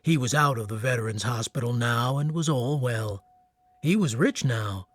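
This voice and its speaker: A man narrating a radio drama as a storyteller, in a terse, matter-of-fact way over soft music. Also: mid-range voice, expressive, normal speed, no room sound, close to an everyday voice. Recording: faint music behind narration.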